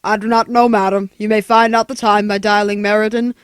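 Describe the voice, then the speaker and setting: A woman's voice: mid-range voice, flat delivery, fairly quick, smooth, no room sound, close to an everyday voice. A woman playing a by-the-book, impersonal information operator with a formal manner in a 1940s-style radio play. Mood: curt, impersonal. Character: curt, unhelpful, by-the-book directory information operator.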